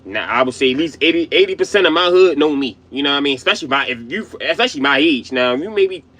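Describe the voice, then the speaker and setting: A man's voice: a little high, lively intonation, quick pace, a bit bright. Slangy young Baltimore man rambling. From Baltimore, USA. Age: young adult. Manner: loose slangy rambling, frequent "you know what I mean"; profane, emphatic. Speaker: channel host. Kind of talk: casual commentary monologue.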